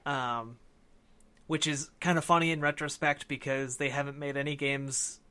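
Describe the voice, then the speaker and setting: A man's voice: a little high, steady tone, moderate pace, sharp tone, clean tone. A man in casual conversation as a podcast co-host, explaining things informally and a little tentatively. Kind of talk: casual discussion of games.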